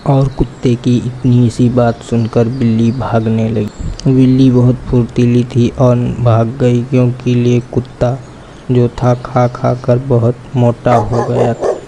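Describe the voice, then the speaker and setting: Man, children's story narrator, dark mellow tone, slow and deliberate, faint background noise. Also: mid-range voice, monotone, rough recording.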